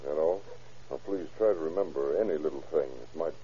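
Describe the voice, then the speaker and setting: An actor playing a shrewd, terse police investigator in an old-time radio crime drama, reasoning matter-of-factly. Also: male voice, a bass voice, a little fast, warm tone, a little hiss or hum. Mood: firm, questioning. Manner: matter-of-fact, terse, deductive. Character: shrewd, hard-boiled male police investigator. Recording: muffled old radio recording.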